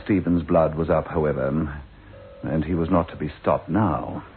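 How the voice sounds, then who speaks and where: An actor playing a grave, wry storyteller, narrating a radio ghost drama in a deliberate, theatrical way. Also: male voice, a bass voice, fairly even intonation. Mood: ominous. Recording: tinny radio recording with music underneath.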